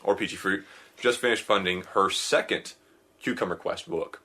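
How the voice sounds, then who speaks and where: Bright-toned joking podcast host, man. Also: deep voice, moderate pace. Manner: upbeat, joking, rambling. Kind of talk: casual podcast chat.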